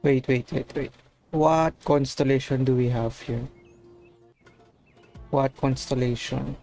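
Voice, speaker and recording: A man's voice: mid-range voice, fairly even intonation, slow pace, warm tone. A man giving casual gameplay commentary as a livestreamer. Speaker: game livestreamer. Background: game music.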